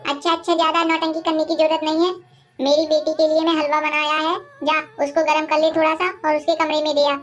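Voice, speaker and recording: A woman voicing a suspicious, sharp-tongued old mistress of the house who gives orders and threats in an animated story. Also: sits high, brisk, natural voice. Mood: grudging. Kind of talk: animated moral-story dialogue.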